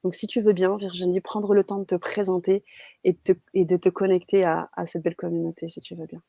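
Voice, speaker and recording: A woman's voice: fairly low pitch, level tone, a little fast, gravelly, mellow, no room sound. A woman hosting an interview, prompting her guest in an encouraging, friendly way. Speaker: interview host. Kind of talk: casual interview.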